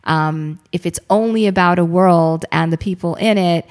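A woman co-hosting a podcast, chatting casually and answering listener questions with animated, swooping emphasis. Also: lowish voice, normal speed. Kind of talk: casual chat.

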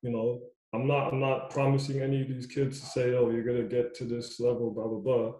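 A man's voice: medium pitch, little pitch movement, brisk, warm tone, reverberant, poor audio. A young man coaching youth basketball, talking casually in a loose, repetitive way. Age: young adult. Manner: loose, repetitive, says "you know what I mean" a lot. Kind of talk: casual podcast chat.

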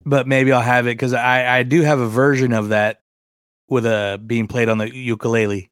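A man's voice: mid-range voice, flat delivery, normal speed, clear, resonant tone, no echo, clean studio sound. A man co-hosting a podcast in casual chat, informal and joking, with some self-deprecation. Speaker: podcast co-host.

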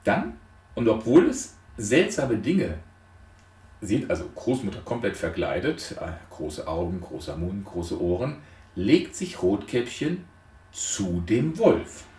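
Echoey, low-voiced man lecturing with lively swings. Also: slow. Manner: rhetorical questions, emphatic enumerated points. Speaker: lecturer on transactional analysis. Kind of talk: explanatory lecture retelling a fairy tale. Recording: echoey room, distant microphone.

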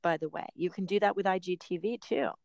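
A woman's voice: lowish voice, quick pace, vocal fry, darkish tone, dry recording. A middle-aged woman hosting a podcast, chatting casually with frequent laughs and rambling asides. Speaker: podcast host and personal trainer.

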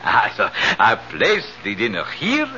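Bright-voiced, flowery resort servant. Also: male voice, lowish voice, huge pitch swings, a little slow, highs cut off, heavily acted. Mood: courteous, proud. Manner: formal, flowery, deferential. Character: courteous, florid male resort servant, proud of his island. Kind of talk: radio drama acting. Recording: band-limited 1970s radio broadcast, faint noise.

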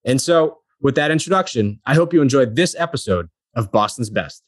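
A man's voice: mid-range voice, lively intonation, brisk, no echo, studio quality. A man hosting a local business podcast, reading an introduction and then chatting loosely with his guests. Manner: friendly, reads intro then chats loosely. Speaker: podcast host. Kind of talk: casual interview chat.

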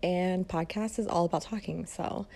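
A woman's voice: fairly low pitch, fairly even intonation, normal speed, creaky, mellow. A woman in her twenties from Detroit hosting her own podcast, talking casually and rambling with many fillers, in a soothing voice. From Detroit, Michigan. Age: 20s. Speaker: podcast host, fitness and mindset coach. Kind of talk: casual solo podcast monologue.

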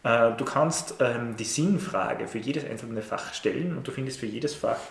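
A middle-aged man, a teacher explaining his views in an interview, measured and hesitant with many fillers. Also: mid-range voice, creaky. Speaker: teacher of Latin and Ancient Greek. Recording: roomy echo with faint noise.

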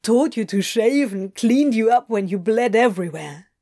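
A woman voicing a fierce, protective parent who is gruff but tender, speaking emphatically in an audiobook story. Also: a little high, animated, normal speed, warm tone. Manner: emphatic, sweary, repeats words.

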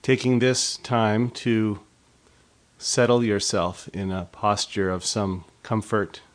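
A man leading a guided body scan meditation as an instructor, speaking in a measured, gently instructive way with pauses between phrases. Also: on the low side, little pitch movement.

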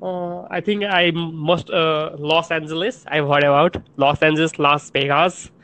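A man from Nepal speaks English with a Nepali accent, choosing his words carefully and sometimes haltingly. English is not his first language. His voice sits a touch high. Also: fairly even intonation, fairly bright tone. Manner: careful, halting non-native phrasing. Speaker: college lecturer. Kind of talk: casual conversation.

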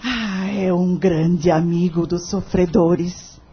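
A woman's voice: on the low side, steady tone, takes it slowly, slight background noise. An actress playing a kindly, humble woman healer with a serene manner in a radio drama. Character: dona Lourdinha, kindly, saintly charitable spiritual healer woman. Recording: dull, lo-fi radio recording.

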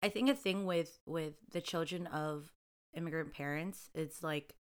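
Chatty young woman, smooth lowish voice. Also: a little slow. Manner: chatty, laughs often, lots of "like". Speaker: co-host. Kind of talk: casual chat.

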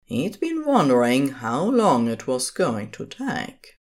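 A woman's voice: very deep voice, wildly swooping pitch, slow, darkish tone. A woman reading scripted story narration as the narrator of an audio drama.

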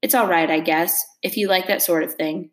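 A woman's voice: lowish voice, steady tone, moderate pace, natural voice. A woman voicing a snide teenage boy in a storybook reading, offhand and sneering. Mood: dismissive.

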